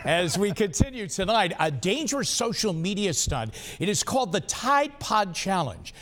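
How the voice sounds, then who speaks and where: A man's voice: sits high, very animated, moderate pace, a bit bright. An American man presenting live television news as the anchor in a studio.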